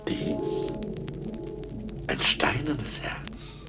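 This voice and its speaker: An actor playing a sinister giant forest spirit in a radio play, coaxing and mocking in a slow, theatrical, creaky voice. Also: male voice. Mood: sly, soothing. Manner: slow, theatrical, wheedling and scornful. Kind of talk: German radio play acting. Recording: 1980s vinyl radio play with music.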